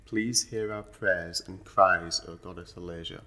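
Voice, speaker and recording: A man's voice: low-pitched, fairly even intonation, measured pace, pushed and bright, exaggerated voice. A man playing a solemn officiant of a fantasy noble court in an audio roleplay, speaking in a stately, formal, declamatory manner. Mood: solemn, reverent.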